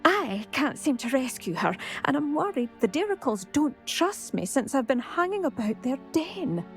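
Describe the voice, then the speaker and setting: A vain, chatty woman from a video game who cares about fashion. Her voice is fairly high and lively in its rise and fall. She sounds breezy, polite and pleased with herself, with faint music behind. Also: normal speed. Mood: coveting, self-satisfied. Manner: breezy, self-satisfied, polite. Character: vain, chatty fashion-minded woman coveting a rare bird. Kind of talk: video game character dialogue.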